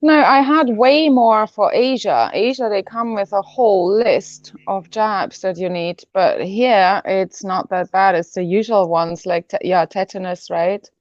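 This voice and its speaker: A woman's voice: mid-range voice, a little slow, few pauses, dry recording. Brief: female guest, non-native english, chatty and word-searching, dry dull lo-fi sound. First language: not English. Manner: chatty, laughs often, searches for words. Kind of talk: casual interview chat.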